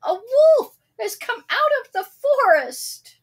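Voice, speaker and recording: A woman reader puts on an excitable young shepherd boy from a children's fable. The voice leaps very high and loud, urgent and swooping, like someone shouting for help across a village. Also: slow pace, exaggerated voice. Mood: frantic, urgent.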